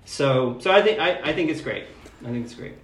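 A man's voice: medium pitch, lively intonation, a little fast. Man talking informally in an echoey room. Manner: informal, emphatic, with frequent "you know" and "like". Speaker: guest poet and book prize judge. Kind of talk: conversational podcast interview.

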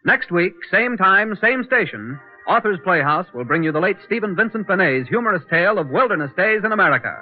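A male radio announcer from the 1940s, formal and measured. His fairly high voice has broad, lively inflection. The recording is tinny, with faint music.

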